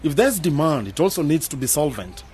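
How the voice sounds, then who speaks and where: A man's voice: a little high, very animated, a little fast, a little mellow, slight background noise. A man acting in a radio drama as a skeptical, pragmatic young planner, challenging and matter-of-fact.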